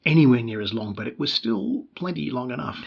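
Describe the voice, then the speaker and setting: An Australian man, a guest who is an actor and film co-director, telling stories in a relaxed podcast conversation with plenty of restarts and fillers. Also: medium pitch, fairly quick, gravelly, breathy edge, highs cut off. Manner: storytelling, with frequent restarts and fillers.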